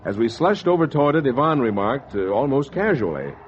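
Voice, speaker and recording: Actor as animated noir schemer, vintage radio. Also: male voice, medium pitch, fairly quick, close to an everyday voice. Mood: smug. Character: greedy, cynical male schemer telling his story. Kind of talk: 1940s noir radio narration and acting. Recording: dull vintage 1940s radio recording.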